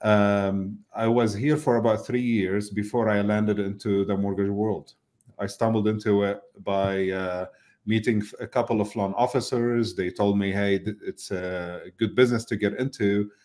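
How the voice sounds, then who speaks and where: Mellow-voiced man, thoughtful with many fillers. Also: fairly low pitch, steady tone, moderate pace. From Lebanon. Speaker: mortgage business owner, guest. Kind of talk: casual interview over video call. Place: indoor office. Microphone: video call webcam mic.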